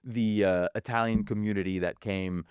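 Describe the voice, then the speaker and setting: A man's voice: fairly low pitch, fairly even intonation, moderate pace, darkish tone, smooth, no room sound. A man from Quebec co-hosting a podcast, chatting casually and animatedly, switching between English and French. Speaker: podcast co-host. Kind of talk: casual bilingual chat.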